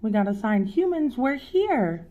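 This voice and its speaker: A woman's voice: fairly high pitch, very animated, a little slow, dark tone, muffled recording. A woman voicing a curious, imaginative young boy who loves science, in an earnest storybook read-aloud. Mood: amazed.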